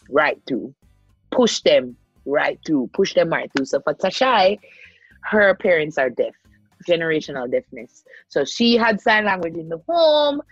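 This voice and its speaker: Jamaican woman, low, dry close sound, emphatic casual talker. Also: slightly rough, no room sound, highs cut off. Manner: earnest, emphatic, repeats key phrases. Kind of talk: casual chat.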